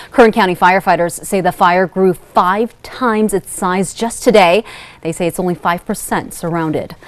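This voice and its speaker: A woman with an American accent anchoring a television newscast in a broadcast news delivery. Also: mid-range voice.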